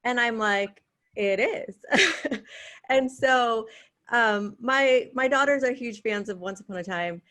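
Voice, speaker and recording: A woman's voice: fairly high pitch, slow pace, fairly bright tone. A woman interviewer, a mother, asking a question in a friendly way with "um" fillers. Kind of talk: press junket interview.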